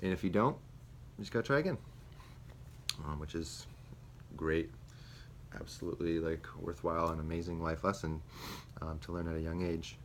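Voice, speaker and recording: A young man, a student teacher, reflecting aloud on a lesson he taught, with many pauses and fillers. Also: deep voice. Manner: hesitant, many ums and restarts. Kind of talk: spoken self-reflection. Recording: faint background noise.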